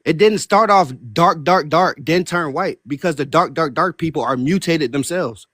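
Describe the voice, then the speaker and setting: A man's voice: fairly high pitch, moderate pace, a bit bright, no room sound, clean studio sound. A man arguing insistently in a heated online debate, stammering and repeating himself.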